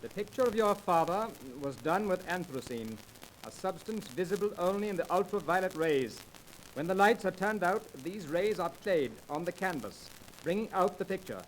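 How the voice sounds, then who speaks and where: A courteous fraud-busting professor in 1930s radio-drama style. His voice is high for a man, precise and explanatory, on a slightly noisy old broadcast disc. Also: animated, normal speed. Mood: assured.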